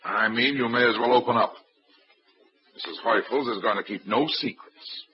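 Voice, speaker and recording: A man's voice: mid-range voice, normal speed, lightly acted. A man playing a wisecracking hard-boiled private eye. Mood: wry. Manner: wry, clipped tough-guy delivery. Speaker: narrator and lead detective. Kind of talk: 1940s radio acting. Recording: dull old radio recording.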